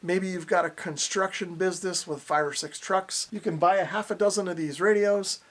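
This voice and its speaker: A man's voice: high voice, steady tone, moderate pace. A man giving a casual, plain-spoken product review and demonstration, explaining things as he goes. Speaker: radio reviewer and host.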